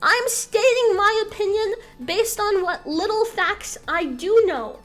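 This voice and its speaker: High-voiced young woman streamer, blunt. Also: slow pace, fairly bright tone. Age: young adult. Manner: candid, blunt, swears casually. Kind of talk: casual stream talk.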